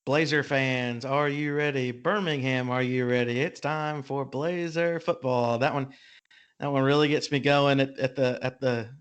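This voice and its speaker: A man hosting a casual podcast interview, speaking in a halting, informal way. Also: medium pitch, steady tone, clean tone, lo-fi sound. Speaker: podcast host.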